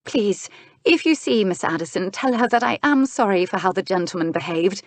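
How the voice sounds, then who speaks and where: A woman's voice: medium pitch, lively intonation, moderate pace, dry recording, natural voice. A woman voicing an earnest, polite, flustered young lady in a historical romance audiobook, with an apologetic, well-mannered delivery.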